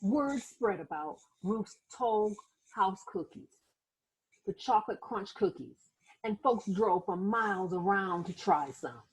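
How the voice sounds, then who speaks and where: A woman reading a children's story aloud like a teacher, expressive and dramatic, with asides to her listeners. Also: mid-range voice, slow, slightly rough, poor audio. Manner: animated, dramatic, acts out sound words and exclamations.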